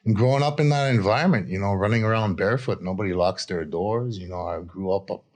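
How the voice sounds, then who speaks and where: Very deep-voiced man, reflective interviewee. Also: darkish tone. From Israel. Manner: halting and reflective, often adds "you know". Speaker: addiction recovery coach, interview guest. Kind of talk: candid personal storytelling in an interview.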